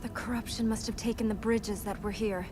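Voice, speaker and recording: An actress playing an earnest, thoughtful young princess in a video game, speaking seriously and with measured care. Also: female voice, mid-range voice, fairly even intonation, lightly acted. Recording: clear background noise.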